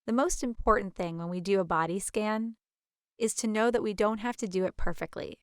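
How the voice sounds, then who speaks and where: Smooth, dry-recorded woman guiding meditation. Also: mid-range voice, no echo. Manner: gentle, soothing, unhurried guidance. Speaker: guided meditation instructor. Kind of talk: guided meditation narration.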